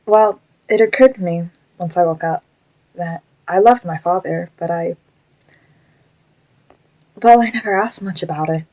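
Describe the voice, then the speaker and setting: A woman playing a gentle, troubled animatronic fox who was once human, speaking hesitantly and reflectively in an audio drama. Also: lowish voice, unhurried, long pauses, low-quality recording.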